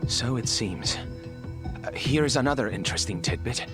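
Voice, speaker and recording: A man's voice: medium pitch, animated, moderate pace, music in the background, natural voice. An actor playing a polite, studious male investigator's assistant in a game cutscene, wording things formally and precisely. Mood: polite, earnest. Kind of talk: dramatic game cutscene dubbing.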